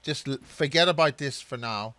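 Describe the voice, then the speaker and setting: A man's voice: mid-range voice, normal speed, sharp tone. A middle-aged man with a Belfast accent chatting casually over a video call, rambling and poking fun at himself. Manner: joking, rambling, self-mocking. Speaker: host.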